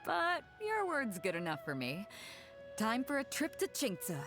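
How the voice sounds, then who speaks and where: A woman's voice: high-pitched, huge pitch swings, slow, heavily acted. A woman playing a bold, hearty, confident ship captain in a video game. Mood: easygoing, confident. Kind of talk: video game character dialogue. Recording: game music underneath.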